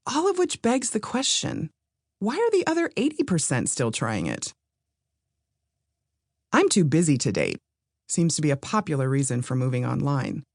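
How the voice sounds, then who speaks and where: A woman with a low-pitched voice reads scripted nonfiction in a measured, explanatory manner. Her intonation moves around a lot as she addresses the listener directly. The sound is very dry, with no room echo. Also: very animated, a little mellow. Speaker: author reading own audiobook.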